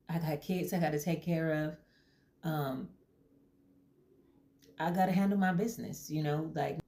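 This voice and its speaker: A woman voicing a brash, defensive young mother in therapy who talks loud and fast and pushes back on every question. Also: low voice. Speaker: therapy client. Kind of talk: comedy sketch acting.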